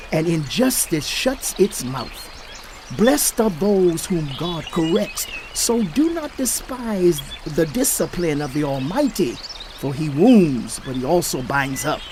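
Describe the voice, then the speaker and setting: Solemn biblical counselor speaks in a high male voice at a slow, measured pace. He sounds earnest and declamatory, as in an audio scripture drama, with clear birdsong and outdoor ambience behind him. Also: very animated, background noise. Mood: earnest, assured. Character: Eliphaz, male biblical elder earnestly counseling a suffering friend.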